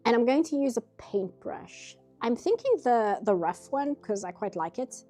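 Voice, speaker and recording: A woman's voice: a little high, expressive, moderate pace, warm tone, faint music behind. A nasal-sounding woman streaming herself painting, chatting casually in rambling asides. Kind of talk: casual chat while drawing.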